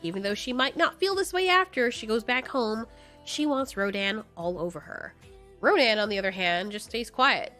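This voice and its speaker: A woman storyteller narrates in an animated style. Her voice is fairly high and swings widely in pitch, with faint music underneath. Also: normal speed.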